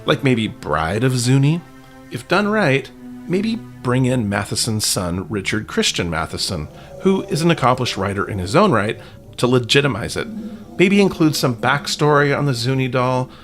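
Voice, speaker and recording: Male podcast host, lively and conversational, wry colloquial asides, soft music underneath. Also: medium pitch, moderate pace.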